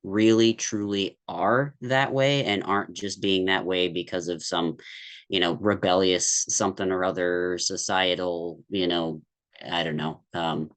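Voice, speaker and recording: Very deep-voiced man, reflective and rambling. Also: steady tone, measured pace, fairly bright tone. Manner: thoughtful, rambling, many restarts and fillers. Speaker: podcast guest. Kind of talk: candid conversational podcast talk.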